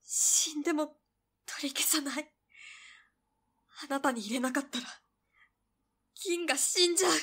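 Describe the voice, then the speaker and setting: A woman playing a fragile but stubbornly devoted young girl in an audio drama, breathy and hesitant. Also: high-pitched, a bit bright. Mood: anguished, stubborn. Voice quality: breathy, whispery. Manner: hesitant, halting.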